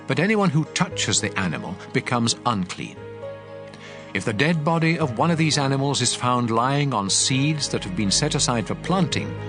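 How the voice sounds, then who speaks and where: A man playing a solemn, commanding God, reading scripture laws in a measured, emphatic way over music. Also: medium pitch, natural voice. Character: God speaking law, solemn, commanding male deity.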